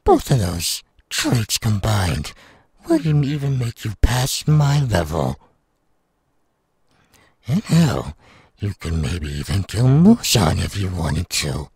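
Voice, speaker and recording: A man playing a menacing, sadistic demon villain in an anime audio roleplay, taunting in a raspy, gravelly voice. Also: lowish voice, huge pitch swings, darkish tone, dry recording, studio quality, full character voice. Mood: tempting, smug. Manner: slow, taunting, drawn-out. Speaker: voice actor.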